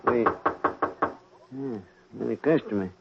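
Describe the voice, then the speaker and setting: An actor playing a matter-of-fact morgue attendant, blunt and casual, in 1940s radio acting style. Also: male voice, medium pitch, measured pace, vocal fry, heavy background noise. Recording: muffled old-time radio recording.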